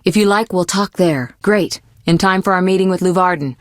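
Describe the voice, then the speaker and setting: A woman playing a poised, worldly young sorceress in a video game, caring but teasing and possessive, with measured, articulate delivery. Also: on the low side, dry recording, clean studio sound, lightly acted. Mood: calm, measured. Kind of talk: scripted video game voice acting.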